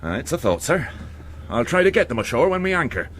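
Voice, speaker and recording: An actor playing a level-headed, authoritative British ship's captain in a radio drama. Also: male voice, a little high, big pitch swings, fast talker, a bit bright, slight background noise. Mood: measured, grave.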